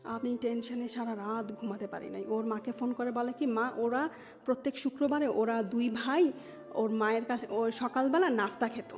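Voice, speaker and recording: A woman's voice: fairly high pitch, fairly even intonation, normal speed, darkish tone. A woman with a Bangladeshi accent telling her own story conversationally as an interview guest, slipping English words into her speech. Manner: mixes English words into Bengali. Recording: dull sound, long reverb, background music.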